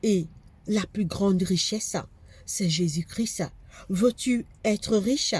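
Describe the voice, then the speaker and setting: A woman's voice: medium pitch, takes it slowly, darkish tone, husky, no echo. Fervent woman preacher with a cold. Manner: fervent preaching, repeats phrases for emphasis. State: has a cold, congested. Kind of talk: sermon.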